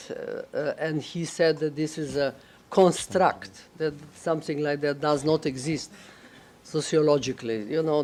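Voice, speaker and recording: Woman on a panel, english isn't her first language, lots of ums and pauses while she hunts for words. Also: sits low, a little mellow. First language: neither English nor Russian. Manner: halting, searches for words, many fillers. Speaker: panelist, head of a management school association. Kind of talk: panel discussion remarks.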